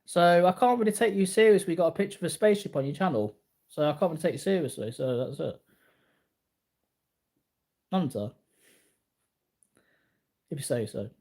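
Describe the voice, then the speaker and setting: Guy in his 40s, kinda high voice, rambling and bragging, keeps repeating himself, casual vlog chat. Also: animated, normal speed, gravelly, long pauses, darkish tone. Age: early 40s. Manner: repetitive, boastful. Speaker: martial arts vlogger. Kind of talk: rambling casual talk to viewers.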